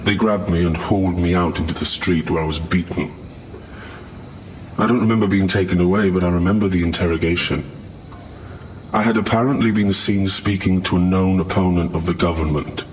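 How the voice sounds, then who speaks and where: An actor as a weary survivor of torture testifying in an audio drama. The man's voice is deep and his delivery measured and grave. A faint layer of noise sits behind him. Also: steady tone, close to an everyday voice, low-quality recording. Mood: grim, resigned. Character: weary torture survivor, falsely accused man giving testimony.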